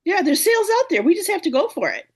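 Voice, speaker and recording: A woman's voice: extremely high pitch, big pitch swings, quick pace. Brief: woman, slightly froggy team leader on a video call, upbeat, cheering her team on. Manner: upbeat, encouraging, clears throat often. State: a little hoarse. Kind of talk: casual team meeting talk.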